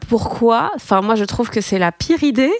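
A woman interviewer chatting casually, relaxed and informal. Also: a little high, normal speed, no echo, clean studio sound.

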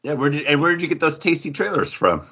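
A man's voice: a little high, very animated, fast talker, bright, forceful tone, husky. A man co-hosting a podcast in casual, joking chat, self-deprecating. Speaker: podcast co-host. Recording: dull lo-fi recording.

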